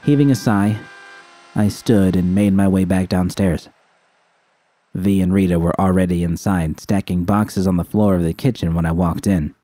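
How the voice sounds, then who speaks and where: A man's voice: sits low, steady tone, moderate pace, mellow, no echo. A man reading a scripted first-person story as narration. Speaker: audiobook reader of fan fiction.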